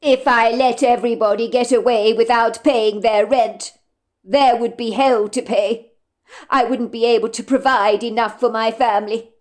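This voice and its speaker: A woman voicing a reluctant, apologetic male landlord in a read story, measured and regretful. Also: on the high side, steady tone, lightly acted.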